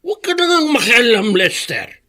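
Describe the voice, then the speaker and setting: A man's voice: very high-pitched, big pitch swings, moderate pace, sharp tone, exaggerated voice. A man playing a cranky, bitter, stubborn old grandfather in a radio drama, with a querulous delivery. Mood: angry, bitter. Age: older. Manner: querulous, accusatory.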